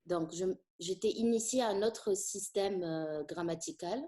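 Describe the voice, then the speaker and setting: Middle-aged woman teacher, Tunisian-born, Arabic native; warm low voice, reflective and hesitant. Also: unhurried. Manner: thoughtful, hesitant with frequent fillers. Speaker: French teacher. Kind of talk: conversational interview.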